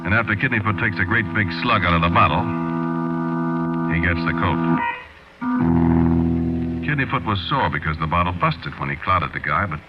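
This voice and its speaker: A man's voice: sits low, expressive, moderate pace, long pauses. An actor playing an uneasy American hard-luck man of the streets, telling his story in world-weary slang, in 1940s radio style. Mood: grim, uneasy. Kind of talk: 1940s radio acting, first-person narration. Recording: dull 1940s radio recording with music.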